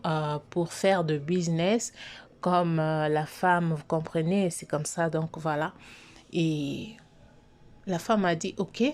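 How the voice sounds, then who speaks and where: A woman's voice: lowish voice, darkish tone. A woman hosting a story channel, telling a story casually with many fillers and restarts. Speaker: online storyteller host. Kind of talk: casual spoken storytelling to subscribers.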